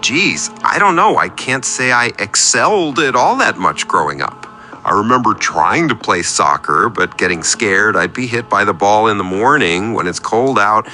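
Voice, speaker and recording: A man's voice: on the low side, lively intonation, moderate pace, sharp tone, faint music behind. An actor playing a needy, self-pitying man calling a radio show, with a confessional, theatrical and wordy storytelling manner. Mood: wistful confession. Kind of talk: scripted comedic radio play monologue.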